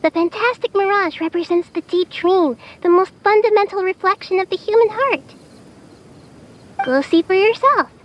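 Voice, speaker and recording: A woman voicing a mysterious, composed young stranger in a video game, speaking in a cryptic, measured manner. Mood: calm, enigmatic. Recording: dull audio with faint rumble.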